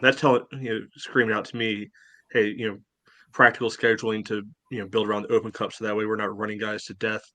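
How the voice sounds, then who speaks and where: A man with a lowish voice chats bluntly on a sports podcast, sarcastic about the schedule talk. His voice sits close and dry, with no room sound around it. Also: level tone, fast talker, pushed and bright, no room sound. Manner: blunt, sarcastic, many "you know" fillers. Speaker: podcast co-host. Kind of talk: casual sports podcast chat.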